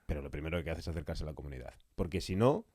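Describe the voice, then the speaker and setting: A man's voice: extremely low pitch, animated, rapid-fire, mellow, slightly rough, no echo, studio quality. A man with an accent from Spain talking casually and emphatically in a podcast conversation, repeating phrases for stress. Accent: Spanish (Spain). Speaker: podcast interviewer.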